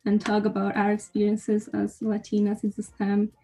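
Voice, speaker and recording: Young woman, student panelist, mellow tone, flat intonation, tentative and repetitive phrasing. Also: medium pitch, moderate pace, rough recording. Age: young adult. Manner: hesitant, repeats phrases. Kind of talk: casual podcast discussion.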